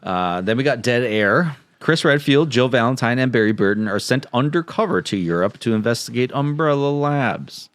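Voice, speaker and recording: A man's voice: medium pitch, animated, normal speed, hardly any pauses, no echo. A man co-hosting a podcast, reading plot summaries and chatting casually with wry, joking asides. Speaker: podcast co-host.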